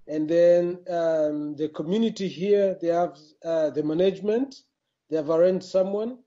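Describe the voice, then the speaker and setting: Mellow man hesitating on a video call. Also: a little high, muffled recording. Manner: hesitant, plain. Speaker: interviewee. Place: at home.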